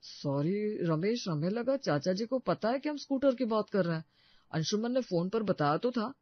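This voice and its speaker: A man's voice: high voice, animated, moderate pace, no room sound, lo-fi sound. A man playing a fretful, earnest older uncle in a comic family story. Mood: sheepish, explaining.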